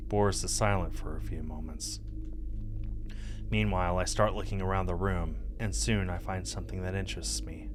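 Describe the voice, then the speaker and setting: A man's voice: low-pitched, fairly even intonation, moderate pace. A man in his thirties reading visual novel narration aloud as a streamer, close to the mic. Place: indoor streaming setup. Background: game music.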